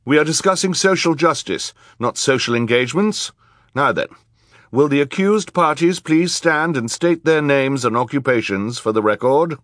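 A man's voice: a little high, moderate pace, fairly bright tone, no echo, clean studio sound, voice pushed up, natural voice. A man voicing a stern, authoritative woman judge with a formal, commanding manner in an audiobook. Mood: firm. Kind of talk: audiobook character acting.

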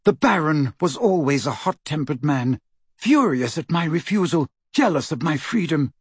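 A man's voice: on the high side, expressive, moderate pace, breathy edge, dry recording. Man acting as young female ghost, haughty, guarded, formal diction, storybook character, dry close sound. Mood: confessional, sorrowful. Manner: formal, disdainful, stiff.

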